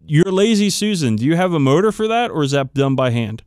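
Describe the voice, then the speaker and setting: A man's voice: fairly high pitch, very animated, a little fast, darkish tone, clean tone, dry recording, clean studio sound. A man co-hosting a podcast in casual, teasing banter with some swearing. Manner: profane, teasing. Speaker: podcast co-host.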